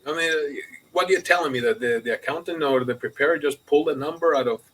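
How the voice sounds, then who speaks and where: A man co-hosting a casual business podcast, talking in a halting, repetitive way and checking the listener follows. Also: on the high side, a bit bright, low-quality recording. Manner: halting, repetitive, often asks "you know what I mean". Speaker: podcast co-host and accountant. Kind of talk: casual conversational business talk.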